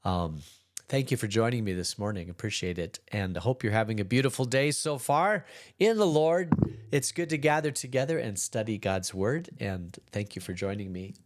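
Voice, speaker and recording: Hoarse stuffy-nosed pastor guy, middle-aged, lowish voice, kinda rambly and easygoing. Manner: relaxed, rambling, chuckles between thoughts. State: hoarse and congested. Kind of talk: casual daily Bible study talk.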